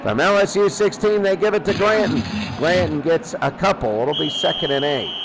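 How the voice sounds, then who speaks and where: A man with a high voice gives live play-by-play of a football game in short, clipped calls, often repeating himself. The radio broadcast recording has clear noise running under his voice. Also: animated, moderate pace. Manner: repeats phrases, clipped present-tense calls. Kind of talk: live radio sports commentary.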